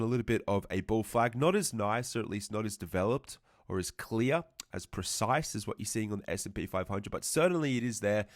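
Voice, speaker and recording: A man's voice: mid-range voice, no echo, clean studio sound. Congested man explaining market charts. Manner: explanatory, repeats and rephrases himself, says "right" often. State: congested, a little fluey. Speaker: trading educator. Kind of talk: market analysis commentary.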